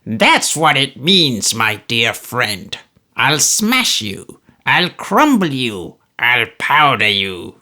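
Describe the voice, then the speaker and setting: A man voicing a spiteful, gleeful old man with a shrill, cackling, drawn-out delivery. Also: wildly swooping pitch, creaky, a bit bright, exaggerated voice. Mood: raging. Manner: mocking, vindictive, drawn out.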